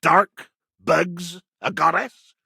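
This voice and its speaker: A woman's voice: fairly low pitch, fairly even intonation, extremely slow, creaky, bright, forceful tone, husky, no room sound, clean studio sound, heavily acted. A woman voicing a mysterious, knowing video game character in a cryptic, teasing, theatrical manner.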